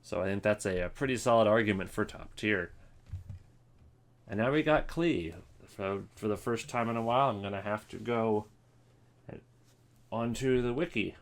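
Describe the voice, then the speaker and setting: A man's voice: fairly low pitch, normal speed, long pauses. A man giving casual, opinionated commentary as the host of a ranking video.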